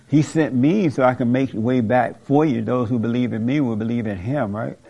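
A man's voice: medium pitch, vocal fry, a little mellow. A man hosting a talk show, preaching in an emphatic, repetitive way with the odd joke and laugh. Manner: emphatic, repeats key lines, jokes and laughs. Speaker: host of a call-in talk show. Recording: dull lo-fi audio.

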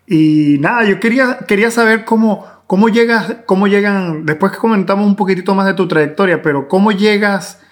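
A man's voice: sits high, brisk, hardly any pauses. Venezuelan man hosting a conversational interview. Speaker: podcast host.

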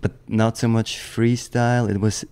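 A man's voice: lowish voice, normal speed, clean tone. A man in his thirties from the German-speaking part of Switzerland, chatting loosely as an interview guest with many restarts. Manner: relaxed, rambling, many restarts and "like". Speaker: guest, professional snowboarder. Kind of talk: casual interview chat.

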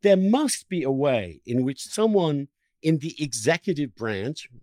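A man's voice: a little high, very animated, measured pace, warm tone, no echo, clean studio sound. A man hosting an interview in an impassioned conversational style, stressing his points emphatically with repeated words and piled-up phrases. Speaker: podcast host interviewing a guest.

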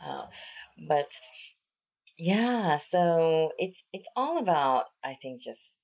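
A middle-aged woman with a strikingly deep voice talks through her life in a loose, meandering interview. She circles her thoughts with plenty of "um" and "you know" and laughs easily as she goes. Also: very animated, slow, a little mellow. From born in Korea, raised in the United States. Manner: rambling and reflective, many fillers, laughs easily. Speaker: interview guest, wellness practitioner. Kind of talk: casual conversational interview.

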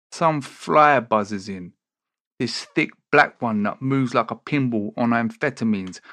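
A man's voice: mid-range voice, fairly bright tone, no echo. Rambling man, dry close sound. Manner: rambling, self-deprecating, conversational. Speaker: poet and podcast host. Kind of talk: casual podcast chat and spoken-word poetry.

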